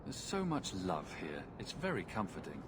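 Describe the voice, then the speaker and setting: A man's voice: sits low, wildly swooping pitch, normal speed, background noise. A man voicing a wry, sarcastic human adventurer in a fantasy video game, speaking dryly.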